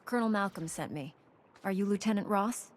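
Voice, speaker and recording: Woman as a composed, mid-pitched warrior heroine. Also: fairly quick, background noise, natural voice. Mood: calm, resolute. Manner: calm, assured, formal. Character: composed, confident female warrior monk heroine. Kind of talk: video game voice acting. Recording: faint music behind the voice.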